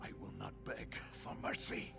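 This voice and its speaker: An actress playing an imperious, defiant villain in a fantasy video game, theatrical and haughty, over loud music. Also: female voice, normal speed, natural voice. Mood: haughty defiance. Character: imperious, defiant villain boasting mastery of time.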